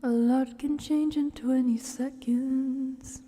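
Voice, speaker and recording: A woman live streamer with a soft, gentle manner, drifting between casual ASMR chat and soft singing. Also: sits high, steady tone, takes it slowly.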